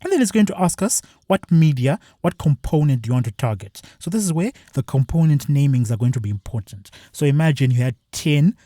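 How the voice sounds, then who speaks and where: Male tutorial presenter, mellow slightly husky tone, lively pitch, step-by-step delivery, dry close sound. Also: fairly quick, hardly any pauses, dry recording. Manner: plain step-by-step explaining. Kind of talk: screen-share walkthrough.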